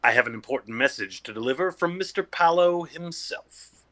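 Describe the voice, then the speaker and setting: Officious, animated delivery man played by an actor. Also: on the high side, normal speed. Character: self-important, unbothered male delivery messenger. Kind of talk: comedic stage play acting.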